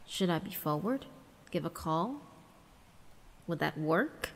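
A woman giving a dramatic first-person narration of a visual novel, theatrical and hushed, with deliberate pauses. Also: low-pitched, lively intonation, vocal fry. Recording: long reverb, music underneath. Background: background music.

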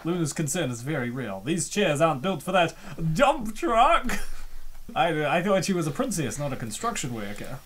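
A woman giving excitable, theatrical, joking reaction commentary as narrator of a comic dub. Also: low-pitched, normal speed.

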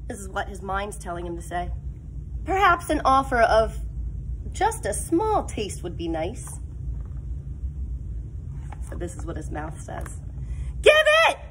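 A middle-aged woman, a teacher reading a picture book aloud to young children with expressive, drawn-out emphasis and explanatory asides. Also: medium pitch, a little hiss or hum, poor audio. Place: school classroom, indoors.

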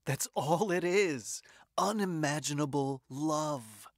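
A man's voice: fairly high pitch, takes it slowly, loud music behind the voice. An actor playing an earnest, tender man who is newly moved by his faith, in an audio drama. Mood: tearful awe. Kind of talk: radio drama acting.

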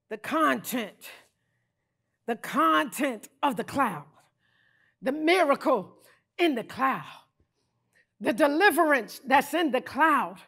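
A middle-aged woman pastor preaching a sermon on a large church stage into a handheld mic, animated and breaking into shouts. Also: high-pitched.

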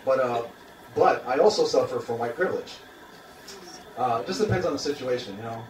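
A man's voice: mid-range voice, expressive, fairly quick. A young man from Queens doing a stand-up comedy set, dry and self-mocking with lots of ums and uhs. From Queens, New York. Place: small open-mic café stage, indoors. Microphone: handheld mic, filmed from audience. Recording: roomy, distant phone recording with noise. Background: audience laughter and room noise.

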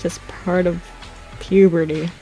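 A woman's voice: fairly low pitch, level tone, a little slow, warm tone, soft background music, rough recording. Young woman reading fan fiction irreverently. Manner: irreverent, giggly asides. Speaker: co-reader and narrator. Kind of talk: joking dramatic reading with commentary.

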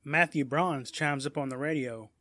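A man's voice: on the high side, normal speed, bright, forceful tone, no room sound. A man reading scripted narration for a superhero audiobook story. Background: music.

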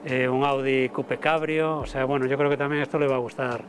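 A man in his fifties, a motor show director, speaking to camera in a halting way with many fillers and repeated words, recorded outdoors on a lapel mic. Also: mid-range voice, flat delivery, slight background noise. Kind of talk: interview to camera. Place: outdoor terrace above a city port.

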